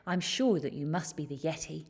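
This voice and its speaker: A woman's voice: low voice, moderate pace, a little mellow, slightly rough. A woman voicing an eager, plucky young boy explorer in a children's storybook, earnest and polite. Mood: excited.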